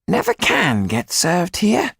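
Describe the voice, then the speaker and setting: A man voicing a sharp, tetchy, imperious schemer in a historical audiobook, in a creaky falsetto. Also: very animated, a little slow, no room sound, clean studio sound, heavily acted. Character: sharp, tetchy Frenchman scheming in a revolutionary cafe.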